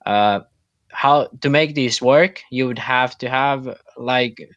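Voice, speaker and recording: A man's voice: mid-range voice, takes it slowly, a bit bright, no room sound. Man explaining with many fillers. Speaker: podcast co-host. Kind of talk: casual podcast chat. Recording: dull, dry lo-fi mic.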